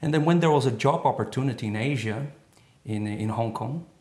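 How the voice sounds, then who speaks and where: A man's voice: medium pitch, brisk, darkish tone. A man from the Netherlands, a talk show guest, explaining things in a friendly, storytelling way. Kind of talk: casual chat. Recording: echoey studio.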